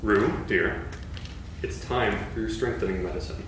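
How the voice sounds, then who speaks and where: An actress playing a kind, firm mother kangaroo in a staged reading, with a warm, coaxing manner. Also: very deep voice, normal speed, noisy background. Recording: echoey hall from far off.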